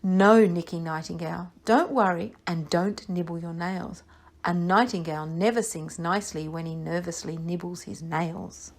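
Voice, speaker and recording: A woman's voice: fairly low pitch, fairly even intonation, normal speed, voice pushed down. A woman voicing a tiny, kind but firm worm in a children's story. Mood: kindly firm. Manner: small, gentle, firm.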